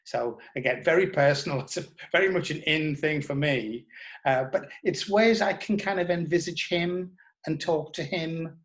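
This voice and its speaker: Hesitant, measured man. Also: fairly high pitch. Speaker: writer interviewed about audio drama scripts. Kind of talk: reflective interview reminiscence.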